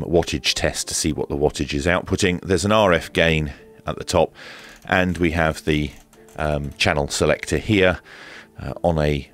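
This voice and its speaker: Man, low-pitched voice, casual unboxing commentary, halting delivery, wry and understated, music behind. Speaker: radio reviewer and presenter.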